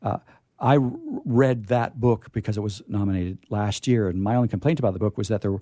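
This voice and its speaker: A man hosting a casual live radio chat, animated and playfully contrary, who often stammers and repeats words and phrases. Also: fairly low pitch, brisk, dry recording, clean studio sound. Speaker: radio show host.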